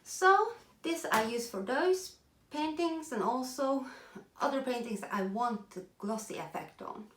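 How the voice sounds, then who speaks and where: A woman with a Norwegian accent explaining a craft project in casual chat, chatty and self-deprecating, pausing to search for English words. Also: high voice, animated, fairly bright tone, echoey room. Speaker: craft video host. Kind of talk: casual tutorial talk while working.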